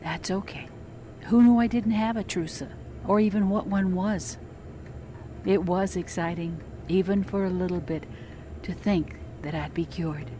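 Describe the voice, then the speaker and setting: Actress as tired wife, lowish pitch, understated, wistful, audio drama, clear background noise. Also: female voice, a little slow, dark tone, natural voice. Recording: noisy background ambience.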